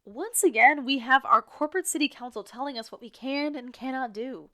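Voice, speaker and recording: An actress playing a rambling radio co-host in an audio drama, wary of authority and prone to arguing with herself. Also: female voice, sits high, a little fast, bright, forceful tone. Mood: indignant. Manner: impassioned, argues back and forth with herself.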